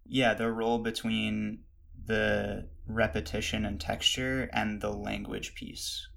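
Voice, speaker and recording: Gravelly, flat-voiced male interviewer. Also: on the low side. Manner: halting, hedging questions. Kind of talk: casual interview questions.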